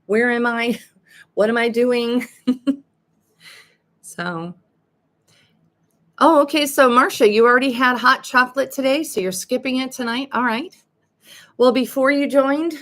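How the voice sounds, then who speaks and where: An older woman hosting a live coaching podcast, chatting casually with ums as she reads articles aloud, her voice a little raspy. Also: medium pitch, a bit bright. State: slightly raspy voice. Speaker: life coach and podcast host. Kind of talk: live-streamed podcast, reading articles and greeting chat.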